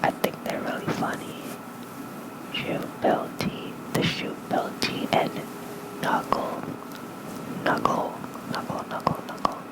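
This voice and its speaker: An ASMR host reading lore in a soft, breathy whisper into a close microphone, unhurried and halting, with informal asides. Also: rough recording. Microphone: close fluffy-covered ASMR microphone.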